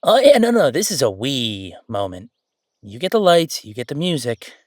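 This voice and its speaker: A man's voice: medium pitch, normal speed, no echo. Man playing a playful, chatty ghost roommate, dry close sound. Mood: playful, excited. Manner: excitable, chatty, joking, hesitating conversationally. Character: friendly male ghost roommate, playful, mischievous, chatty jokester. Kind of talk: casual roleplay audio drama.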